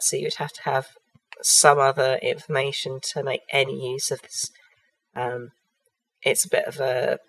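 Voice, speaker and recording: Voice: mid-range voice, fairly bright tone, low-quality recording. A miniatures hobbyist who has a cold. They narrate a product review hesitantly, with frequent ums and restarts.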